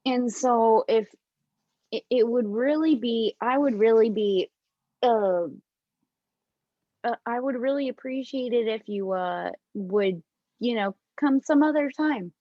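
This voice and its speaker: Female actor as flustered sick heroine, romantic comedy read-through, hesitant, slow delivery, muffled audio. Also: on the high side. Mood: flustered, evasive. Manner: halting, deliberate, with hesitant restarts. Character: flustered, wounded woman sick with a cold, romantic comedy lead. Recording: dull, roomy home recording.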